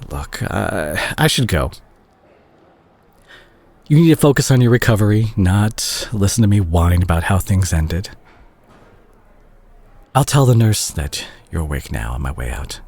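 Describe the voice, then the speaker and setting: Male actor as doctor ex-boyfriend, deep voice, husky and breathy, tender, remorseful, hesitant, intimate audio roleplay. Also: sing-song, a little slow, pauses often, heavily acted. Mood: apologetic, hesitant. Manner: hesitant, gentle, self-conscious, sighing. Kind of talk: intimate scripted audio roleplay, addressed to listener.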